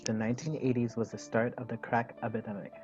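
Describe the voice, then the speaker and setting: A man giving measured documentary narration from a played clip. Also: mid-range voice, little pitch movement. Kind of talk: documentary voice-over. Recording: dull lo-fi clip over music.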